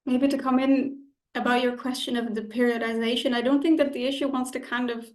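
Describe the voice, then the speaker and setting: Female academic editor, fairly high voice, careful explanatory delivery, very roomy sound. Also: little pitch movement, a little fast, darkish tone. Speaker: academic journal issue editor. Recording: echoey room sound.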